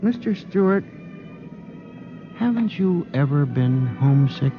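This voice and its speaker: An actor playing a modest, homesick middle-aged bookkeeper, polite and self-effacing, in a 1950s radio drama. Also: male voice, on the high side, lively intonation, unhurried, over music. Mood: wistful, confiding. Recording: dull, noisy 1950s radio recording.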